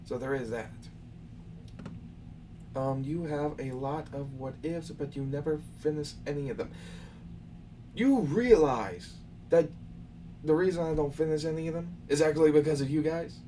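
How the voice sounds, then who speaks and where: A man's voice: fairly high pitch, moderate pace, pauses often, warm tone. A young man hosting a casual live question session, talking loosely and lecturing his viewers with some exasperation. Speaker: channel host answering viewer questions. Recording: far from the mic with faint background noise.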